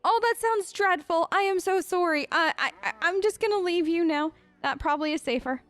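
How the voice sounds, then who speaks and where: A woman hosting a let's play, improvising in-character dialogue in a chatty, expressive, reactive way. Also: very high-pitched, fairly quick, no echo, soft background music. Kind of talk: improvised in-character role-play over a game.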